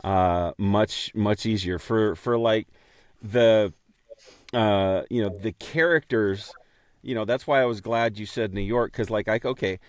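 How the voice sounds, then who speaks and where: A man co-hosting a podcast in casual chat, speaking haltingly with a self-deprecating manner. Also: fairly low pitch, no echo. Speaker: podcast co-host and amateur voice actor.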